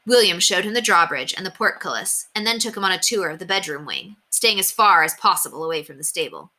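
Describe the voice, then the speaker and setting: A woman's voice: lowish voice, lively intonation, a little fast, sharp tone. A woman narrating a children's story expressively, as a teacher reading aloud.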